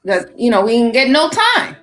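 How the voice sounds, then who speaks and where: A woman in her forties hosting a podcast, talking candidly and laughing easily, slowing down to make her points. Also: on the high side, big pitch swings, fairly bright tone, soft background music. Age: 40s. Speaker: podcast host. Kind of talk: casual chat.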